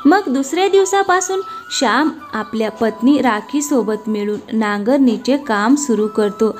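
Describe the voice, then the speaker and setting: A woman's voice: a little high, expressive, measured pace, soft background music. A woman narrator reading a scripted cartoon moral story.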